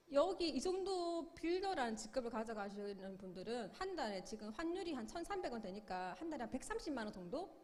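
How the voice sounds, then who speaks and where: A woman's voice: on the high side, darkish tone, long reverb tail. A middle-aged woman giving a sales seminar lecture, upbeat, explaining with questions and acted-out quotes. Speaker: business seminar speaker.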